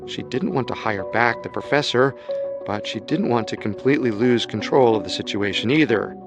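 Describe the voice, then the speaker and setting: A man's voice: mid-range voice, moderate pace, music in the background. A man narrating a true-crime radio drama in a grave, ominous scripted style.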